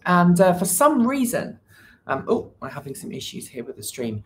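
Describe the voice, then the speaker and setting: A woman with a slightly low voice hosts a casual livestream from her computer. She talks loosely and keeps restarting her sentences with lots of ums. She stops now and then to greet the viewers in the chat. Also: very animated, normal speed, a little hiss or hum. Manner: hesitant, many fillers and restarts; chats with viewers, reads the chat. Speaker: livestream host.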